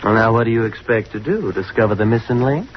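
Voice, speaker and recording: A man's voice: fairly low pitch, lively intonation, fast talker, slight background noise, close to an everyday voice. Guy acting as snooty sarcastic frat president, swoopy drawly delivery, muffled old radio play. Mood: sarcastic, cool. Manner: dismissive, drawling, slangy. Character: snobbish, sarcastic rich young male college fraternity president.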